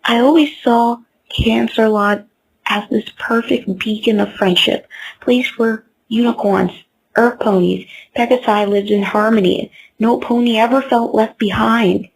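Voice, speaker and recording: A woman's voice: on the high side, fairly even intonation, measured pace, creaky, slightly rough, exaggerated voice, low-quality recording. A woman voicing a hopeful young female earth pony in a fan comic dub, earnest and starry-eyed.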